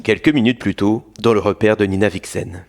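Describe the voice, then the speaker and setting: A man's voice: fairly low pitch, fairly even intonation, fairly quick, gravelly, a little mellow. A man narrating informally and chattily, breaking off into digressive asides to the audience.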